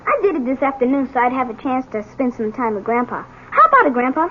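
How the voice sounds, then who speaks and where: Woman as high-pitched eager kid. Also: sing-song, fast talker. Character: eager, cheerful child, fond of grandpa. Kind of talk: 1970s American radio drama acting. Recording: noisy, dull old radio broadcast.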